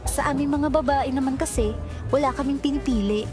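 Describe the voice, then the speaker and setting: A woman playing a lively, chatty young office assistant who teases her coworkers in an audio drama. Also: high voice, brisk, lightly acted. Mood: earnest. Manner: bright, chatty and teasing. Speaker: lead character and narrator. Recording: music bed under voice. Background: romantic background music.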